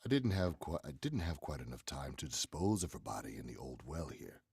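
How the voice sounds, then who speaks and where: An actor playing a menacing, commanding murderer in 1940s radio horror style, gravelly and theatrical. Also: male voice, rumbling low, very animated, a little fast, very noisy, heavily acted. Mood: cold, menacing. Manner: theatrical, forceful. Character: menacing male murderer, commanding, coldly confessing.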